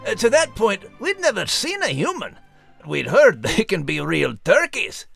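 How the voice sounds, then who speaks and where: A man voicing a gruff, grumbling male animal with a Scottish accent and blunt, folksy humor. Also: sits high, wildly swooping pitch, a little slow, fairly bright tone, soft background music, exaggerated voice. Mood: wry.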